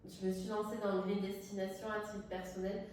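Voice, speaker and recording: A woman's voice: mid-range voice, flat delivery, fairly quick, a little mellow. A middle-aged woman from southern France speaking off the cuff in an interview, hesitating and searching for her words. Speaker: tourist office director, interviewee. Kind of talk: spontaneous interview talk. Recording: very echoey room, distant mic, faint noise.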